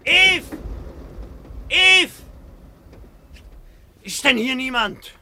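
An actor playing an excitable, insistent man, someone's fiancé, in a radio play. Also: extremely high pitch, extremely slow, full character voice. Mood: urgent. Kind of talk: German radio play acting.

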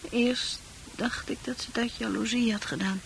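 An actress playing a dreamy, sensitive, troubled young woman in a Dutch radio play, confiding in earnest, halting, poetic speech. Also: a little high, steady tone, gravelly, husky, heavily acted, low-quality recording. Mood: wistful, confiding.

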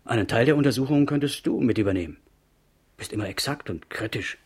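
Slightly husky, self-assured male schoolteacher. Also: medium pitch, expressive, fast. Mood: pleased, confident. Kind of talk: 1970s German radio drama acting.

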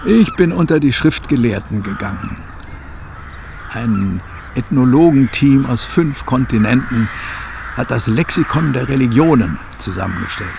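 Creaky, sardonic preacher's scholar son. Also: male voice, mid-range voice, noisy background. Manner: sardonic, theatrical, quotes others mockingly. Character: embittered scholar son of a preacher, recalling childhood. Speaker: interviewee. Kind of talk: radio drama acting, reminiscing monologue.

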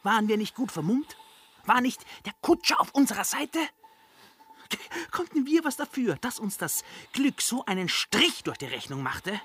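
Cynical little crook in a radio comedy, high voice swooping all over, mocking. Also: male voice, big pitch swings, moderate pace, fairly bright tone, husky, heavily acted. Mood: exasperated. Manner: mocking, exclamatory. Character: brash, cynical, cackling male highwayman and petty rogue. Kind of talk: German radio play, comic period acting.

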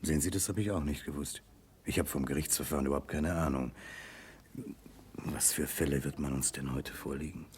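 An actor playing a reserved, troubled prince in a 1960s German radio play, with a hesitant, musing delivery. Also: male voice, extremely low pitch, fairly even intonation, a little fast, a little mellow, slight background noise. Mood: polite, musing. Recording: 1960s radio drama recording.

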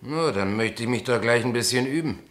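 An actor playing a naive but sensible, unassuming newcomer president in a German radio play, questioning and matter-of-fact. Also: male voice, mid-range voice, fairly quick, a bit bright, close to an everyday voice. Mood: puzzled, skeptical. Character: plain-spoken, naive, sensible new opera board president.

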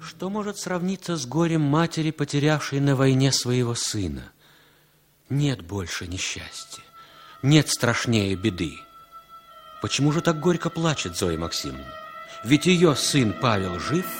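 An actor as a solemn, declamatory narrator in a 1960s radio drama, putting rhetorical questions. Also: male voice, medium pitch, expressive, measured pace, close to an everyday voice. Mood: impassioned. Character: solemn, dramatic narrator of a Cold War story. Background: music.

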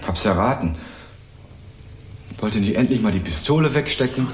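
An actor playing a cocky young student sleuth in a radio crime drama, wry, probing and accusatory. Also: male voice, lowish voice, expressive, normal speed, a little hiss or hum, close to an everyday voice. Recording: roomy echo, music underneath.

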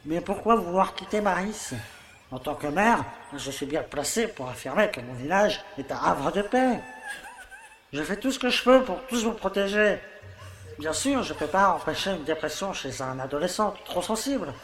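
A man's voice: sits high, lively intonation, moderate pace, fairly bright tone. A man playing a self-satisfied older village mayor in an audio drama, with a pompous, paternal way of talking. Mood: defensive. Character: smug, falsely kindly older village mayor. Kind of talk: theatrical monologue in an audio play. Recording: echoey room, faint background noise.

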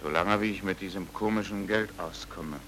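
An actor playing a stubborn, combative pulp novelist in a German radio crime drama, speaking in a terse, dry, direct way. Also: male voice, low voice, normal speed, a bit bright, slight background noise. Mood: dry, blunt.

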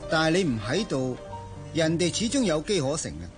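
Smooth-talking friend, Hong Kong Cantonese, highish lively pitch, insinuating, teasing, radio drama, music behind. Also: male voice, brisk. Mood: persuasive, sly.

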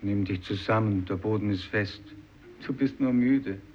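A man's voice: fairly low pitch, unhurried, a little mellow, lightly acted. An actor playing a stern fisherman urging on a companion, firm and curt, in a 1950s German radio drama. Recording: muffled old radio recording, faint noise.

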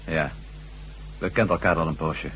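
An actor playing a captured former astronaut in a Dutch radio drama, answering tersely and compliantly in a subdued way. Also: male voice, very deep voice, moderate pace, fairly bright tone. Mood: subdued, matter-of-fact. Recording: noisy radio recording with hum.

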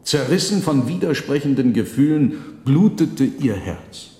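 A man playing a theatrical trickster conjurer in a radio drama, recounting his tale in measured, dramatic, formal language. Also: medium pitch, lively intonation, darkish tone. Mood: sorrowful, intense. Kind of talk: radio drama storytelling, literary narration. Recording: echoey reverberant hall sound.

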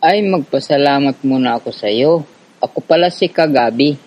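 A man with a Filipino accent playing a calm, friendly, patiently explaining guerrilla in a radio drama. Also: mid-range voice, moderate pace, highs cut off, lightly acted.

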